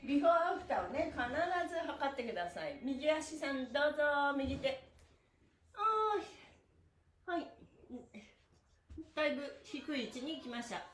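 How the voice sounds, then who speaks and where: A woman's voice: high-pitched, very animated, a little slow, pauses often, fairly bright tone, a little hiss or hum. A middle-aged woman leading a guided exercise class in an upbeat, encouraging, singsong manner. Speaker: stretching instructor. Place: small indoor room on exercise mat. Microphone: camera a few meters away. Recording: echoey room, distant camera mic.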